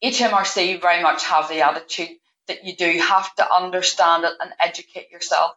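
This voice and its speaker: A woman's voice: fairly low pitch, steady tone, brisk, bright, forceful tone. Earnest woman on dull lo-fi line. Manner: earnest, explanatory. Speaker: guest payroll manager. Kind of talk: interview answers.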